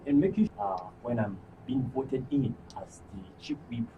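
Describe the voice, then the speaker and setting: Nigerian man, formal campaign delivery, medium pitch, off-mic, noisy background. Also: very animated, moderate pace, recorded from a distance. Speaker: guild election candidate.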